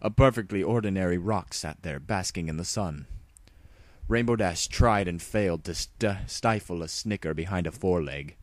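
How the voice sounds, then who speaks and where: A man's voice: sits low, normal speed, no room sound. A man narrating a story as a tongue-in-cheek dramatic reading.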